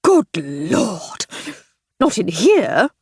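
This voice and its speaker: A woman's voice: medium pitch, huge pitch swings, drawn-out words, darkish tone, slightly rough, no echo, studio quality, heavily acted. A woman voicing a pompous, blustering army captain who talks in a breezy, upper-class way. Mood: flustered.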